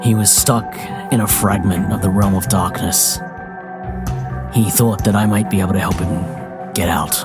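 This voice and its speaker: A man's voice: low voice, steady tone, moderate pace, over loud music, natural voice. A man playing a wary, earnest adventurer in a tabletop fantasy game, speaking seriously and plainly. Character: guarded, earnest male adventurer, measured and wary.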